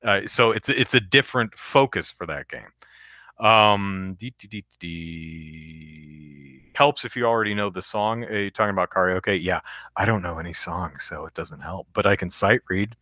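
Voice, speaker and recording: A man with a deep voice hosting a live podcast, reading the chat aloud and rambling casually with many fillers and restarts. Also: animated, unhurried, bright, forceful tone, no echo, studio quality.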